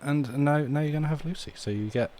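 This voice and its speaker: A man's voice: on the high side, quick pace, dark tone, slight background noise. A man hosting a live stream in casual chat, tentative and rambling, often interrupting himself. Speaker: livestream host.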